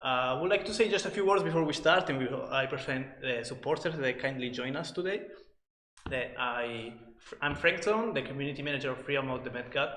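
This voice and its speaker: A man working as a community manager, opening a live stream and speaking haltingly as he searches for words. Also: medium pitch, pushed and bright. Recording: echoey room with long reverb.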